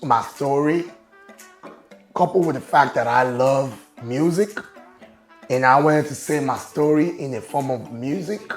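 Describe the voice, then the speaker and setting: A man with a raspy, mid-pitched voice tells his story in a relaxed, confident way. He sounds like a guest on an interview show. The room is roomy and echoey, with faint music underneath. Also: unhurried. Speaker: guest, business owner and show promoter. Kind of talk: casual interview talk.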